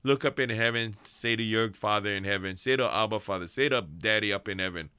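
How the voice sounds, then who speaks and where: A man preaching a fervent radio sermon to people in prison, repeating phrases and swinging between high urgent calls and low hushed passages. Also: a little fast, smooth, no echo. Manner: fervent, repetitive preaching with big pitch swings.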